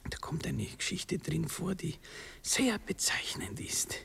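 An actor playing a nervous, sensitive young gentleman in love in a 1960s German radio drama, halting, stammering and self-pitying. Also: a little high, big pitch swings, vocal fry, in a whisper, heavily acted. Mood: awkward, explaining.

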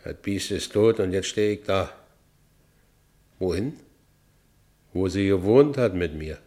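An actor playing a rough, brawny, volatile ex-convict labourer in a radio play, speaking coarse Berlin vernacular. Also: male voice, sits low, animated, takes it slowly, warm tone. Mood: bitter brooding. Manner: coarse Berlin vernacular, swinging from brooding to exultant. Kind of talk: German radio play acting, monologue and dialogue.

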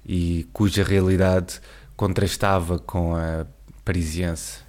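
A man hosting a podcast, reading scripted narration in a calm, measured way. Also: low-pitched. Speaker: podcast host.

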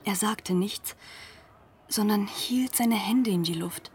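A woman playing a school pupil who narrates a literary radio drama in a hushed, deliberate way. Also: mid-range voice, a little hiss or hum, close to an everyday voice. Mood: hushed, observant. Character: former school pupil recounting events as narrator.

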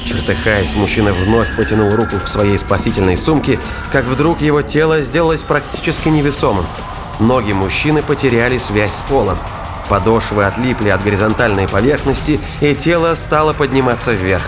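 A man's voice: mid-range voice, fairly quick, over music. A man reading scripted dramatic narration for a science fiction thriller audio play.

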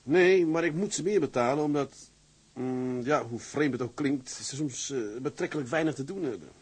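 A man's voice: mid-range voice, normal speed, darkish tone, husky, lightly acted. A man playing a stern, lonely, principled tugboat captain in a radio drama, speaking earnestly and tersely. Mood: firm, earnest. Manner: earnest, terse, matter-of-fact. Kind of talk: Dutch radio drama acting.

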